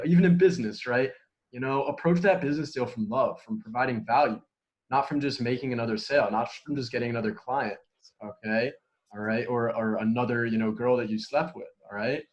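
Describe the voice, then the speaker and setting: A man's voice: medium pitch, a little fast, highs cut off. A man hosting a podcast in a casual conversation, talking in an easy way and dropping "okay" into his sentences. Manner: conversational, peppers speech with "okay". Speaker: podcast host.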